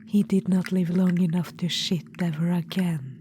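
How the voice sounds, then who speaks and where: A woman's voice: on the low side, steady tone, moderate pace, mellow, slight background noise, close to an everyday voice. A woman voicing an imperious, gleefully macabre undead witch-queen in a whispered audio roleplay, cooing "darling" at the listener. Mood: doting menace.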